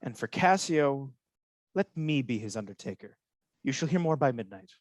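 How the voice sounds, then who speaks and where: Male actor for manipulative soldier villain: insinuating, goading, animated mid-range voice, dry sound, Shakespearean radio play. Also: mid-range voice, normal speed, no room sound, lightly acted. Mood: cold plotting. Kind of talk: Shakespearean radio drama acting.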